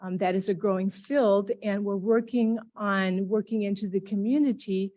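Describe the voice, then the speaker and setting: Woman panelist, mid-pitched and plain, explaining her work. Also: steady tone, normal speed, darkish tone. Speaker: panelist describing deaf interpreting work. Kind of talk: panel talk.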